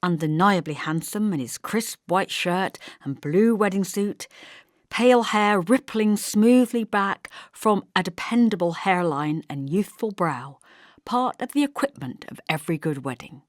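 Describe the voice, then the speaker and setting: A woman narrating a literary novel as an audiobook, in a measured, expressive storytelling manner. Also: mid-range voice, no echo, studio quality.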